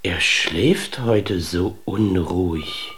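A man playing a household servant who reports in a hushed, measured way. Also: sits low, background noise, full character voice. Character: quiet old household servant.

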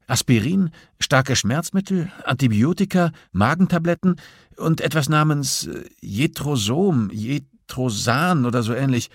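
Man voicing a flustered, anxious woman investigator, pitched up. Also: lively intonation, measured pace, husky, no echo, studio quality. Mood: searching, tense. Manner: hesitant, agitated, groping for details. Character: anxious female police investigator, flustered under pressure. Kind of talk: audiobook dialogue acting.

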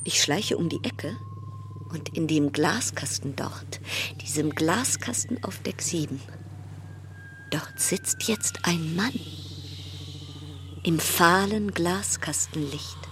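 An actress playing an anxious, restless female cruise passenger in a radio play monologue, brooding in clipped fragments that slide into breathy whispers. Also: fairly low pitch, lively intonation, takes it slowly, long pauses. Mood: hushed, uneasy.

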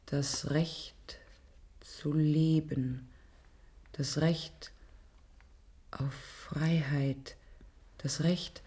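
A woman playing an earnest, obedient female test subject in a radio play, reciting deliberately and precisely. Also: low voice, steady tone, warm tone. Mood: solemn, earnest. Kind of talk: German radio play acting.

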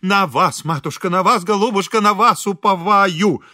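A man's voice: high-pitched, normal speed, sharp tone, no echo, clean studio sound, voice pushed up. A man voicing a meek, obstinate, fawning priest who pleads humbly in a comic audiobook. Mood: obstinate, imploring. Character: meek, fawning, obstinate bearded male priest begging on knees. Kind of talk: audiobook character acting.

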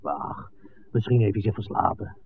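A man's voice: on the low side, lively intonation, moderate pace, creaky. An actor playing a colloquial, reassuring village man in a 1960s radio play. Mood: concerned. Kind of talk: 1960s Dutch radio drama acting. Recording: narrowband vintage radio, faint noise.

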